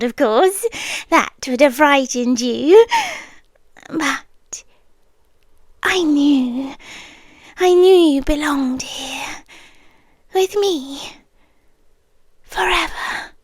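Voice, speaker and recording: Casting: woman as a sweet, possessive forest queen, high voice, very slow, whispery and coaxing. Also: no echo, studio quality. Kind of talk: scripted audio roleplay.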